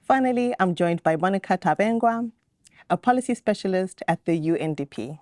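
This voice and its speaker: Measured woman moderating a panel. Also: fairly low pitch, animated, darkish tone, no echo, clean studio sound. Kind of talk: panel introductions. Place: conference venue.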